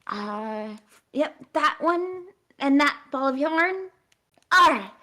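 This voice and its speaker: A woman plays a kindly fairy lady in a tabletop game, with a fairly high voice. She is polite and helpful, haltingly fussing to herself. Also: animated, pushed and bright, heavily acted. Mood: helpful, flustered.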